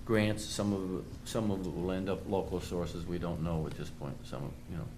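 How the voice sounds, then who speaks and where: A man explaining a financial report item by item at a public meeting, in a halting way, as a town finance official. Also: low voice, steady tone, dark tone. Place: town board meeting room. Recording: noisy public meeting room recording.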